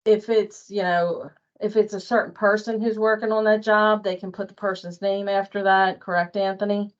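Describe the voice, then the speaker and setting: A woman's voice: mid-range voice, steady tone, moderate pace, lo-fi sound. A woman leading an informal workplace training walkthrough in a hesitant manner, often checking her points with a colleague. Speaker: training presenter.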